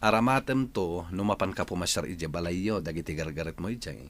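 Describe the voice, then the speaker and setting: An actor playing a bossy, dismissive new husband with an Ilocano accent in a Filipino radio drama. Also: male voice, low-pitched, quick pace, natural voice.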